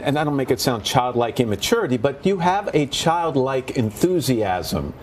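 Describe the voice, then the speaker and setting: A middle-aged man with a mid-pitched voice hosting a TV morning-show interview. He asks his questions earnestly and often restarts a word or two. A faint room hum sits behind him. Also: sing-song, normal speed. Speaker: TV host.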